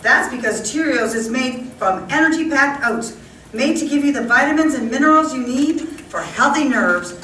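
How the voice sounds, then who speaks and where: A woman announces in old-time radio style, reading sponsor copy. Her voice is high and bright, picked up at a distance in an echoey hall with faint noise. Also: animated, moderate pace. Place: live stage radio show in a hall.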